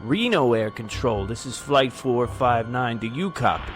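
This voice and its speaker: A man playing a grave, steady airline captain in an audio drama, terse and formal. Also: medium pitch, unhurried, lightly acted. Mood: grave, urgent. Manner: terse, formal radio procedure. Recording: music behind the voice.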